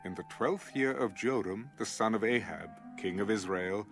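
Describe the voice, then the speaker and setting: A man narrating an audio Bible in a measured, dramatic storytelling manner. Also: lowish voice, fairly bright tone, faint music behind. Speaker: scripture narrator.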